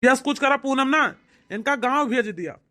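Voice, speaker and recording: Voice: sits high, expressive, normal speed, pushed and bright. A performer voicing a disgruntled, complaining husband in an amateur cartoon, with an Awadhi accent and a grumbling, aggrieved manner. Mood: dismissive. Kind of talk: amateur cartoon comedy-drama acting.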